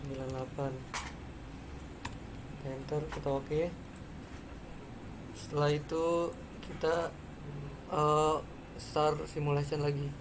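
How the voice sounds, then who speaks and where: Seorang pria muda berlogat Indonesia memperagakan perangkat lunak langkah demi langkah sebagai mahasiswa presenter, dengan bicara ragu-ragu. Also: male voice, on the high side, little pitch movement, takes it slowly, long pauses. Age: young adult. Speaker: student presenter. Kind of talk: step-by-step software demonstration. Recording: clearly noisy background.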